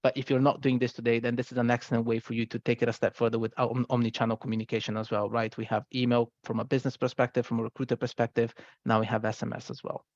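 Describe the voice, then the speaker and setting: Guy doing a software product walkthrough, talks quick, explainy and conversational, dry slightly muffled mic. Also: mid-range voice, little pitch movement, dry recording. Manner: explanatory, conversational with check-in tags. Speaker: software presenter. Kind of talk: product presentation.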